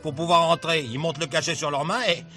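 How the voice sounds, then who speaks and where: Jovial village fire officer, fairly high voice, familiar and hearty, faint music. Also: male voice, fairly even intonation, fast talker, pushed and bright. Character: jovial small-town fire brigade officer checking tickets.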